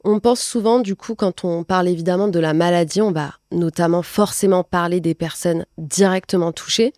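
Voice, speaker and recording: A woman's voice: on the low side, moderate pace, darkish tone, no room sound, clean studio sound. A woman in her late twenties hosting a podcast, speaking in a conversational interviewing style. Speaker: podcast host. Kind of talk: conversational interviewing at a talk event.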